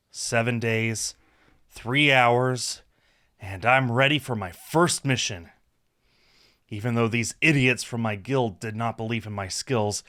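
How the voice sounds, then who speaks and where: A man's voice: medium pitch, fairly bright tone, natural voice. A man voicing a boastful, eager young male cat adventurer from a visual novel, in a theatrical manner. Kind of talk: visual novel character read aloud. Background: music.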